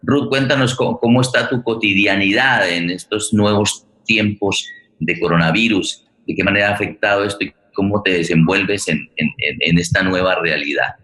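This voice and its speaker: A man's voice: low voice, moderate pace, bright, forceful tone, low-quality recording. A Colombian man hosting a live interview, effusive and courteous, repeating words for emphasis. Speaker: host of a radio theatre program.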